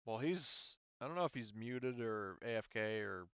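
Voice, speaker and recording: A man's voice: mid-range voice, animated, moderate pace, a bit bright, clear, resonant tone, dry recording, muffled recording. A man running an online tabletop game as game master, explaining things informally and a little hesitantly.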